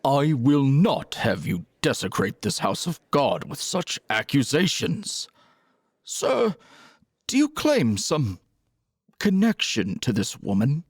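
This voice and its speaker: A man's voice: medium pitch, sing-song, takes it slowly, slightly rough, dry recording, clean studio sound, exaggerated voice. A man acting a solemn, stern priest at a wedding in an audio drama, speaking formally and ceremonially. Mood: indignant.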